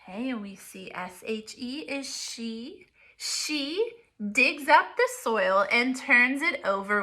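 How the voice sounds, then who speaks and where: A woman teacher reading a picture book aloud to young children, explaining simply and deliberately as she goes. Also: fairly high pitch, sharp tone.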